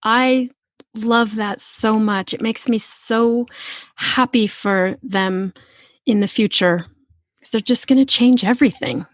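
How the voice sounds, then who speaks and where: A woman's voice: a little high, steady tone, slow, no echo. A woman hosting a podcast in a casual, effusive interview manner. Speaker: podcast host.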